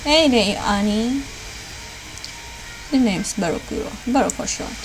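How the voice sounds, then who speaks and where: A woman's voice: fairly high pitch, lively intonation, unhurried, music in the background, rough recording. A woman voicing a friendly, idealistic visual novel witch with a breezy, chummy manner. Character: friendly, idealistic cat-loving witch Barukuro. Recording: clear background noise.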